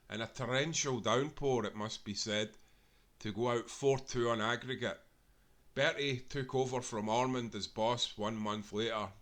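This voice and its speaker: A man reading a football history book aloud in a steady, measured way, speaking as a proud supporter of his club. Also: mid-range voice. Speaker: audiobook reader.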